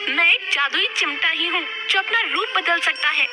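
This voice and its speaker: A woman's voice: extremely high pitch, normal speed, loud music behind the voice. A woman voicing a righteous magical hen in a moral-story cartoon, admonishing in a declamatory way. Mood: stern, righteous. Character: magical shape-shifting hen, scolding, righteous moral judge.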